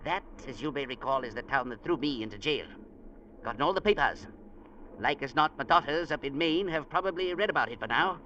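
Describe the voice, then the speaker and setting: An actor playing a short-tempered, blustering sea captain in an old radio drama, with a gruff manner. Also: male voice, medium pitch, expressive, fairly quick, pushed and bright. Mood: stubborn. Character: short-tempered bantam sea captain, middle-aged man. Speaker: ship's captain. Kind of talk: 1940s radio acting. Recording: dull old radio broadcast with faint hiss.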